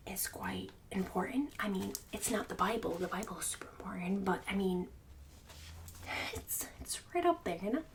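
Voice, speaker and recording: A woman playing a snobbish, bossy book-club mom in an ASMR roleplay, mostly in a breathy whisper with fussy, passive-aggressive condescension. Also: medium pitch, far from the mic, a little hiss or hum, close to an everyday voice, rough recording. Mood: scolding, snobbish. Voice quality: breathy whisper and soft speech.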